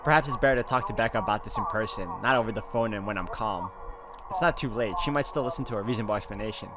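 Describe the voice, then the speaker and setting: A man's voice: on the low side, racing. A man doing a let's play, reading visual novel narration aloud and adding his own off-the-cuff reactions. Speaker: video game let's player. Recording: game music behind the voice. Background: game music.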